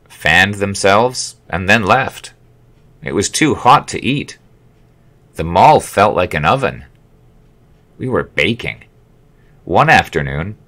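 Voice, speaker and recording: A man narrating his own memoir as an audiobook in a measured, wry storytelling manner. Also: low voice, a bit bright.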